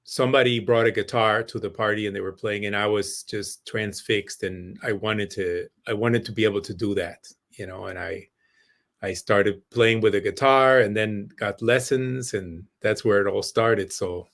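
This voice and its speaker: A middle-aged man from Mexico, a musician guest recalling his start in an unhurried, thoughtful way. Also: fairly low pitch, a bit bright. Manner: thoughtful, many fillers and restarts. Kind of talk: podcast interview.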